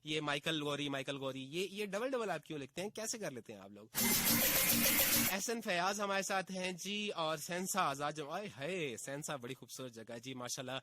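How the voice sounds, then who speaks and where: A man from Pakistan hosting a casual radio show, reading out listener names and messages with a slightly husky on-air sound. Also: fairly high pitch, expressive, a little hiss or hum. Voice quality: sounds hoarse on air though he says his throat is fine. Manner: chatty, warm greetings, drawn-out filler pauses. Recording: FM radio broadcast with faint music bed.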